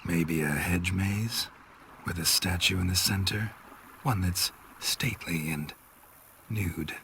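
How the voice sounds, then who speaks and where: Very deep, gruff monster hunter. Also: male voice, takes it slowly, pushed and bright, a little hiss or hum, full character voice. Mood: relaxed, wry. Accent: American. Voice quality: gravelly, low. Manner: laconic, understated, dry. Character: gruff, dry-witted monster hunter, affectionate with his lover. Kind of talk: video game cutscene acting.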